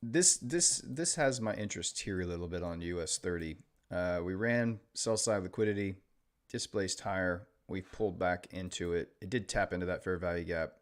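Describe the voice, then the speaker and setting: A man with a mostly Midwestern American accent and a slight Texan touch, reading viewer chat aloud and answering it in a relaxed live-stream way. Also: sits low, normal speed. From Illinois, later Texas. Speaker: day trader streaming live.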